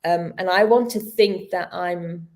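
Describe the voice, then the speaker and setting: A woman's voice: on the low side, steady tone, unhurried, a little mellow. Earnest woman in her fifties, numb-mouthed. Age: 50s. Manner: earnest, heartfelt, reflective; slightly stumbling, searching for words. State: mouth numb from dental injection. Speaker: podcast guest, yoga teacher. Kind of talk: casual interview talk.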